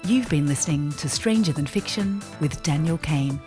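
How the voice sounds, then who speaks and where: Female podcast announcer, low register, scripted delivery over a clear music bed. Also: lively intonation, normal speed. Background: music under the voice.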